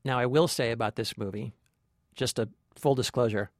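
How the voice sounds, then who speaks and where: A man's voice: medium pitch, normal speed, background noise. A man co-hosting a film review podcast, chatting casually and giving his opinions with enthusiasm. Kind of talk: casual movie review chat.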